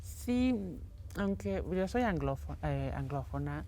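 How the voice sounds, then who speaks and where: Low-pitched woman, thoughtful academic guest. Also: expressive, slow, warm tone, a little hiss or hum. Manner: thoughtful, frequent fillers and tag questions. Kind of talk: conversational interview. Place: television studio.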